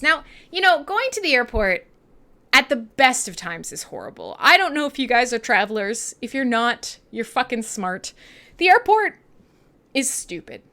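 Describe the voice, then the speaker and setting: Female online radio host, Canadian, higher register, very bright tone, irreverent casual chat. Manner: irreverent, sweary, blunt. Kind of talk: casual live-stream chat with listeners.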